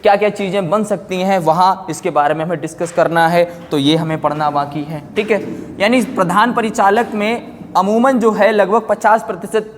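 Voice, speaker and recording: High-pitched male teacher, heavy reverb. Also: steady tone, moderate pace, slight background noise. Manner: explanatory, repeats check-words and phrases; mixes in English terms. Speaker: physics teacher. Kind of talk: online exam-prep class talk. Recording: long hall-like reverb.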